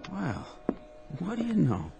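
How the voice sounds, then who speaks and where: An actor playing a down-on-his-luck former pitcher in a radio drama, humble and eager. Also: wildly swooping pitch, slow, gravelly, highs cut off, heavily acted. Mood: plain. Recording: radio play with music underneath.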